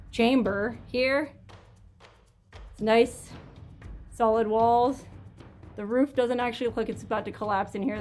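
A young woman vlogging as she explores a narrow cave, chatty and full of excited exclamations, recorded on a handheld camera. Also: on the high side. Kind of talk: casual vlog narration.

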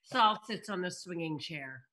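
A woman's voice: on the low side, expressive, a little slow, bright, forceful tone. A woman reading out stage directions as scripted narration during a table read on a video call. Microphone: computer on video call.

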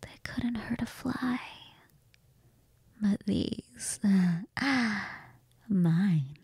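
A woman voicing a cold, hungry female vampire, speaking in a slow, breathy whisper right at the microphone. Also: medium pitch, a little mellow, dry recording, full character voice. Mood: seductive. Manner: slow, intimate, purring murmurs. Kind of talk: whispered ASMR roleplay. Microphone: close mic.

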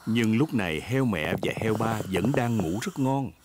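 A man's voice: fairly low pitch, expressive, measured pace, heavy background noise. A man narrating a children's cartoon from a script.

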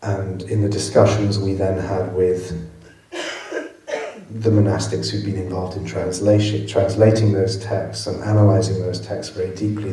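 A man's voice: low-pitched, mellow. A male film director answering audience questions at a cinema Q&A, thoughtful and prone to restarting his sentences. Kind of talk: post-screening Q&A answers. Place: cinema auditorium. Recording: echoey hall, from far off.

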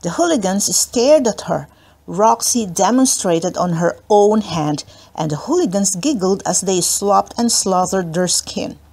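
A woman's voice: mid-range voice, expressive, measured pace, creaky, a little mellow. Woman reading a children's story aloud. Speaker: audiobook narrator. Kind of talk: children's book read-aloud, scripted narration.

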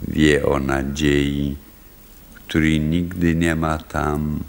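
An actor playing a solemn village elder leading a chorus in a radio drama. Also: male voice, a bass voice, level tone, very slow, gravelly, exaggerated voice. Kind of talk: radio play acting, solemn narration.

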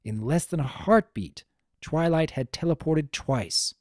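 Mellow narrator with lively intonation. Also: mid-range voice, measured pace, no echo, studio quality. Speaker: audiobook-style narrator. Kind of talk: scripted narration, dramatic reading of a story.

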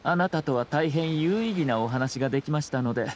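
A man playing a refined young noble commissioner in a Japanese anime game, speaking calm, formal words laced with veiled sarcasm. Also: fairly high pitch, brisk, close to an everyday voice. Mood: cool, ironic. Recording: game dialogue over ambient scene noise. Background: game scene ambience.

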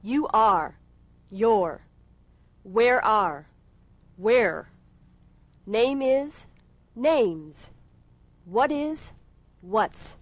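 A woman teaching spoken English, repeating short phrases slowly and carefully for learners to copy. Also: fairly high pitch, expressive, no echo. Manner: repeats each phrase carefully, clearly articulated. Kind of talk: slow pronunciation drills.

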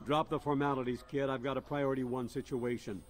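A man acts a stern military commander for a video game. He speaks bluntly at a medium pitch, gruff and used to giving orders. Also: flat delivery, brisk, lightly acted.

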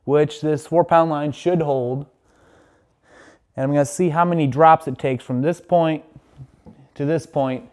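A man's voice: fairly high pitch, darkish tone, reverberant. A young man casually talking through tests in his garage workshop, thinking aloud as he works, recorded by a camera across the room. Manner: plain, thinking aloud while working. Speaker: DIY tester. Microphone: far camera.

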